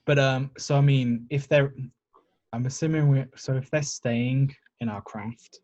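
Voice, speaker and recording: A man's voice: mid-range voice, steady tone, a little slow, darkish tone. A man chatting casually as a player in a tabletop game, on a slightly dull recording.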